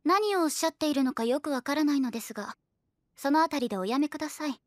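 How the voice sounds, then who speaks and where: An actress playing a composed, frank young princess in an anime audio drama, formal and primly polite in speech. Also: female voice, high voice, lively intonation, fast, no echo, studio quality. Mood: stern, reproving.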